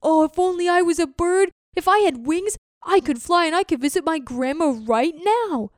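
A woman voicing a talkative, excitable young male turtle who rushes his words together. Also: extremely high pitch, dry recording, clean studio sound, pitched above the performer's own voice. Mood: eager.

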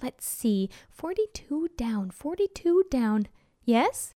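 A woman's voice: sits high, big pitch swings, slow, dark tone, exaggerated voice. A woman voicing a kindly old woman shopkeeper, musing and easily startled, in a storybook tale.

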